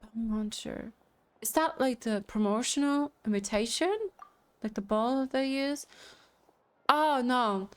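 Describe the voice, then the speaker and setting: A woman's voice: fairly high pitch, slow pace, clear, resonant tone. A woman streamer giving casual commentary as she reacts to a game.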